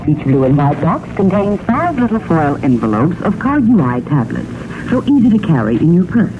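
High-pitched male announcer, noisy old radio. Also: sing-song, moderate pace, phone-quality sound. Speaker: commercial announcer. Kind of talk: 1960 scripted radio commercial.

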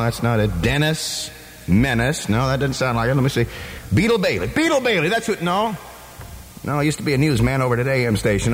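A man's voice: fairly high pitch, a little hiss or hum. A male radio disc jockey rambling on air, stumbling and scatterbrained with lots of fillers.

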